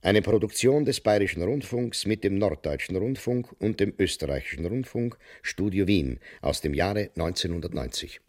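A man's voice: low voice, animated, moderate pace, hardly any pauses, no room sound, studio quality. A man reading scripted credits as a radio announcer. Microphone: close studio microphone.

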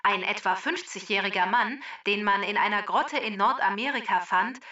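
A woman reading scripted quiz questions and facts for a children's podcast in a measured, formal delivery. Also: mid-range voice, bright, forceful tone, distant mic, highs cut off.

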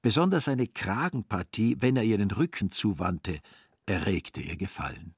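Husky, low male storyteller. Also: lively intonation, normal speed, no room sound, clean studio sound. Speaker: narrator. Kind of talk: scripted literary narration for a radio play.

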